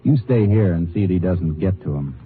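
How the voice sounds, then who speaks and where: Deep-voiced, terse Texas Ranger. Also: male voice, lively intonation, a little fast. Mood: businesslike, steady. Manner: terse, matter-of-fact. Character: steady, determined Texas Ranger lawman in a western. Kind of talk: old-time radio western acting with narration. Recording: muffled vintage radio recording with music.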